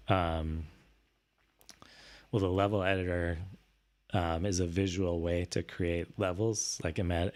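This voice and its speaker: Guy streaming, deep voice kinda raspy from being sick, casual n hesitant, thinking out loud. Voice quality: slightly raspy. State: voice tired after recent illness. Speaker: streamer host. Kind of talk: casual livestream chat.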